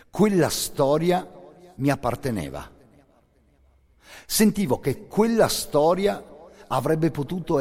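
Male monologist for radio theatre: husky, intense storyteller, very wide swings in pitch, long hall reverb. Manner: intense, theatrical storyteller, swelling from hushed to forceful.

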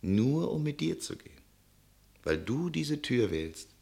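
An actor in a German radio play, playing a devoted, fatalistic traveller who pleads solemnly and intimately. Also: male voice, a little high, big pitch swings, a little slow, gravelly, darkish tone, full character voice. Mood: resolute, tender.

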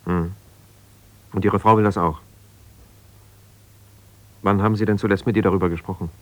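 An actor playing an ambitious, self-justifying lawyer in a German radio drama, speaking haltingly and conversationally as if on the phone. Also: male voice, deep voice, fairly even intonation, no room sound, natural voice. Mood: measured, advising. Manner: conversational, halting, with repeated phrases and pauses.

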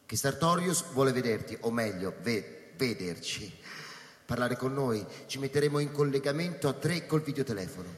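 An actor playing a familiar, probing scientist colleague in a radio drama, informal and clipped, in a reverberant space. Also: male voice, mid-range voice, lively intonation, moderate pace, natural voice. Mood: wry, prodding. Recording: echoey hall with long reverb.

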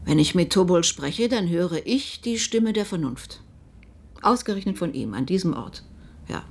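A woman playing a principled, defiant professional in a German radio drama, speaking firmly and with measured emphasis. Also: on the low side, lively intonation. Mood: quietly defiant.

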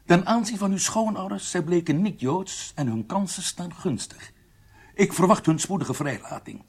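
A German doctor interrogating a prisoner in a radio play. His husky voice sits fairly high and swings widely in pitch, while the manner stays clinical, formal and coldly polite, with menace underneath. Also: animated, normal speed, exaggerated voice. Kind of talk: radio drama acting.

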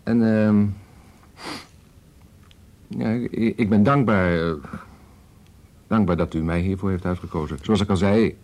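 An actor playing a proud, touchy police officer in a Dutch radio drama, talking formally and haltingly, on the defensive with his superior. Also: low voice, steady tone, a little mellow. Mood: stiffly grateful.

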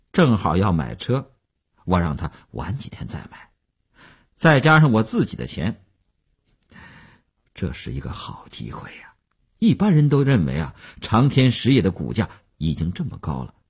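A man's voice: sits low, wildly swooping pitch, slightly rough, pitched below the performer's own voice, full character voice. A man playing a smug, boastful stock speculator who cajoles his partner in chatty audiobook dialogue. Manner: chatty, cajoling, with drawn-out particles and chuckles.

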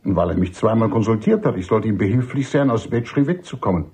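A man playing an older army major and doctor in a radio drama, husky, emphatic and cynical, courteous but dismissive. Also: on the low side, animated, a little fast, a little mellow, exaggerated voice. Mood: exasperated. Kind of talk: German radio drama acting.

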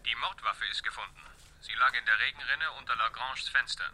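An actor playing a brisk police subordinate reporting facts to his chief in a German radio drama. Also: mid-range voice, bright, forceful tone. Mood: businesslike. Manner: factual reporting.

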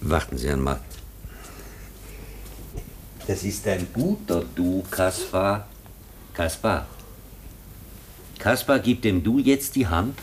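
Slow, low-voiced, patient schoolmaster. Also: male voice, animated. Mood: patient, explaining. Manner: simple coaxing phrases, careful explanations. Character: patient, devoted schoolmaster professor, gently coaxing. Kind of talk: German radio play acting. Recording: noisy old radio play recording.

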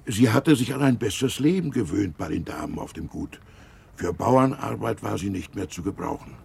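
A man's voice: lowish voice, lively intonation, normal speed, creaky, darkish tone, slight background noise. An actor playing a servile, rustic Russian coachman who gossips plainly in a German radio drama. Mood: matter-of-fact, chatty.

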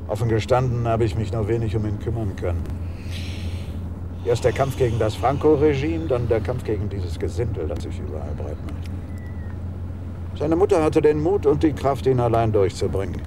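An actor playing a sleazy, boastful old screenwriter. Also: male voice, mid-range voice, lively intonation, normal speed, background noise, close to an everyday voice. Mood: self-pitying. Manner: pompous, ingratiating. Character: boastful aging male screenwriter, sleazy and self-pitying. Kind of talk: radio drama acting.

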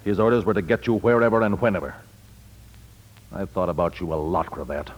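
An American actor playing a ruthless crime boss, curt and threatening, in a 1940s radio noir drama. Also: male voice, low-pitched, brisk, highs cut off. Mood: menacing. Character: ruthless, menacing male crime boss with a gun. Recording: band-limited 1940s radio recording.

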